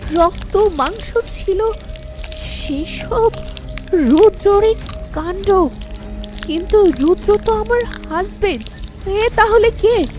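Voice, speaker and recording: A woman voicing a young wife who tells her own horror story in the first person, in a slow, tense manner, for an animated ghost story. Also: very high-pitched.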